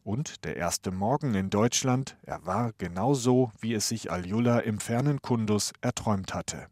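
Male news reporter, low and dry, measured scripted read. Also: darkish tone, no room sound. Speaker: radio reporter.

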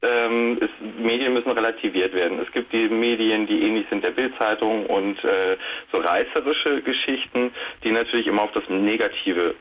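A man's voice: lowish voice, moderate pace, fairly bright tone. A man in his late thirties calling a radio show, speaking casually in a reflective, conciliatory way over a dull phone line with noise. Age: late 30s.

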